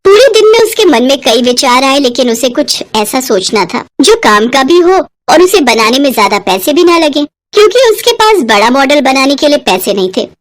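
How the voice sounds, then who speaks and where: A woman's voice: sits high, sing-song, moderate pace, few pauses. A woman narrating a scripted moral bedtime story as a storyteller.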